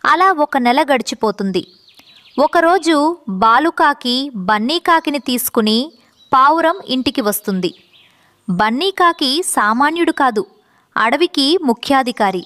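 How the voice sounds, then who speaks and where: A woman reading scripted narration for a children's animated story. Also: high-pitched, a little slow, long pauses, no room sound.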